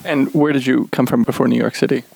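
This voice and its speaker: A man's voice: mid-range voice, steady tone, fast, gravelly, a little mellow, no room sound, low-quality recording. A man hosting an interview, asking friendly, curious questions in a home living room. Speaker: podcast host and musician.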